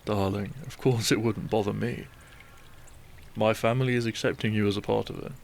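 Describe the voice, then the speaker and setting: An actor playing a devoted gentleman doctor and bridegroom in a romantic audio drama, tender, earnest and polite. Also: fairly low pitch, steady tone, normal speed, vocal fry, a little hiss or hum.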